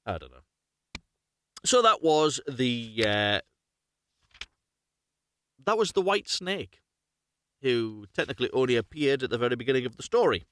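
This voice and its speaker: A man's voice: mid-range voice, lively intonation, a little slow, pauses often, fairly bright tone. A man hosting a live, unrehearsed story reading, narrating expressively, correcting himself and adding chatty asides. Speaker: host reading stories aloud on a livestream.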